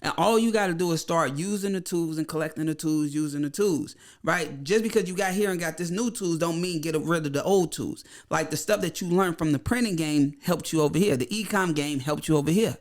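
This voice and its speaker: A man talking as a podcast guest who has a high-pitched voice, speaking bluntly and repeating his points for emphasis. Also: a little fast, few pauses. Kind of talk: casual podcast conversation.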